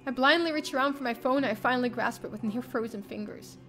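A young woman streamer reading a visual novel aloud in the first person, expressive and theatrical. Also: on the high side, fast talker. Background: game music.

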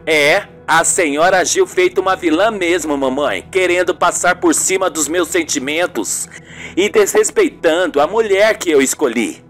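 A man's voice: fairly high pitch, normal speed, fairly bright tone, faint music behind, close to an everyday voice. A man playing a defensive, exasperated young journalist son in an amateur radio soap. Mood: accusing, firm.

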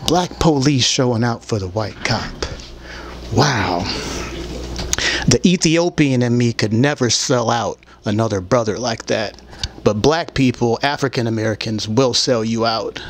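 A man's voice: mid-range voice, unhurried. Blunt man ranting over background noise. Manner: blunt, profane, aggrieved. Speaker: host of his own online show. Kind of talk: rambling opinionated monologue to camera. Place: small indoor room. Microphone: desktop microphone, later held in hand. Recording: clear background noise, room ambience.

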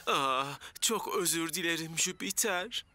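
A man's voice: fairly high pitch, animated, a little slow. An actor playing an earnest, goofy young fireman in a cartoon dub, with a chatty manner. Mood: fussy, protective. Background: background music.

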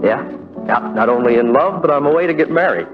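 An actor playing a haunted, heartbroken young man, telling his story with halting, conversational pauses in 1940s radio drama style. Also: medium pitch, expressive, natural voice. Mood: wistful, rueful. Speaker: narrator character. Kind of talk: 1940s radio drama first-person narration. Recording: muffled vintage radio broadcast with music.

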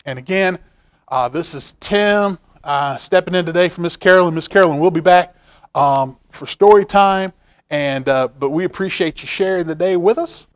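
A middle-aged man with a somewhat high voice hosts a children's storytime. He reads expressively and talks to young listeners in a folksy way, often repeating a phrase to drive it home. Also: moderate pace, smooth. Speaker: library storytime reader, stand-in host. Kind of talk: animated picture-book read-aloud with asides to children. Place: indoor library room, seated at a table.

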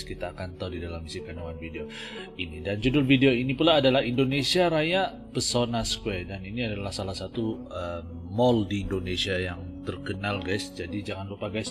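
A man in his thirties with a Malaysian Malay accent, hosting a casual reaction video from a small home studio in an earnest, emphatic way. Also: lowish voice. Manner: earnest, says "guys" constantly, emphatic. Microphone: studio mic on arm, wearing headphones. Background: music from the video being reacted to.

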